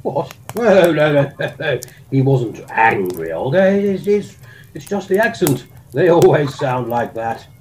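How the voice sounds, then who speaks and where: A man's voice: fairly high pitch, big pitch swings, a little slow, darkish tone, a little hiss or hum. A man playing a bumbling, jolly old-school army colonel with an English accent and a stammering, old-fashioned upper-class manner in a comic audio drama. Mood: chatty, amused.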